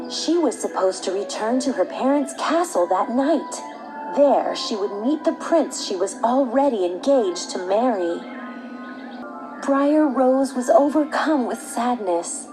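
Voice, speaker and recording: A woman reading a scripted fairy tale for children as a storyteller. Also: on the high side. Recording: background music.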